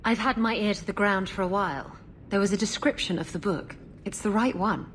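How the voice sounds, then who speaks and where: A woman's voice: mid-range voice, fairly quick, a little hiss or hum, close to an everyday voice. A woman playing a brash, evasive female pirate rogue in a video game, with a glib, wheedling, flippant manner.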